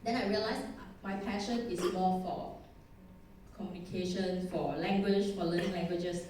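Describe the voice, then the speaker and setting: A woman's voice: on the low side, fairly even intonation, normal speed, background noise. Woman, Thai accent, chatty and self-deprecating, heard from a distance. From Thailand. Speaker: conference speaker. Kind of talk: conference talk. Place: conference hall. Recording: echoey hall, distant mic.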